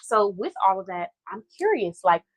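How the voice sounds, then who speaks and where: A woman's voice: mid-range voice, very animated, normal speed, no room sound. A woman hosting a podcast interview, encouraging her guest and summing up what she says. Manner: encouraging, summarizing the guest's points. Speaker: podcast host. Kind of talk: casual interview.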